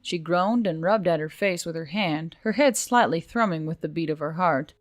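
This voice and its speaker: A woman's voice: on the low side, moderate pace, dry recording, studio quality. A woman reading scripted fantasy fiction as an audiobook narrator.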